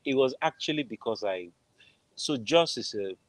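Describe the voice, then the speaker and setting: A man's voice: mid-range voice, expressive, normal speed, no room sound. A middle-aged man with a Nigerian accent, telling a personal story conversationally as an interview guest on a video call. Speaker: interview guest, film composer. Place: indoor room at home, video call.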